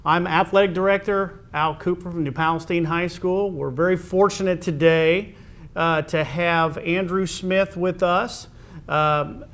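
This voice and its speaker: A man speaking as a high school athletic director, hosting a conversational interview in a halting, wordy way. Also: fairly bright tone.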